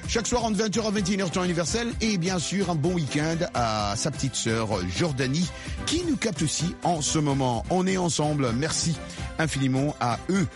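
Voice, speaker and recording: A man's voice: fairly high pitch, expressive, moderate pace. A man presenting a radio music show, greeting listeners in a conversational way peppered with tag questions. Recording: music playing behind the voice.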